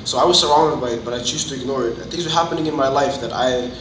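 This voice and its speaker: A man in his twenties from Fiji with a Fijian accent, giving a personal testimony to a group in an earnest, halting way. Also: medium pitch. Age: 20s. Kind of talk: personal testimony, informal public speaking. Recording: roomy, distant mic, noticeable background noise.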